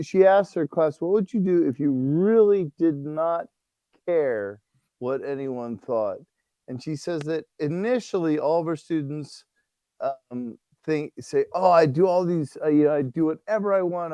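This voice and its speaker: A man, a priest, chatting on a livestream and telling stories in an animated way, laughing often. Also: a little high, dry recording. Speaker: priest and guest author. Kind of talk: casual livestream conversation.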